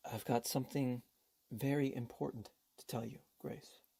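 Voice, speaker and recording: A man acting in a radio drama as a middle-aged, ruined husband and father, grave and weary, quietly set on a grim decision. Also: mid-range voice, fairly even intonation, normal speed, vocal fry, breathy edge. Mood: weary, subdued.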